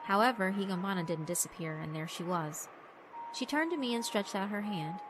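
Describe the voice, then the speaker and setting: A woman's voice: mid-range voice, lively intonation, brisk, noisy background. A woman reading scripted first-person narration for a horror visual novel. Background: background music.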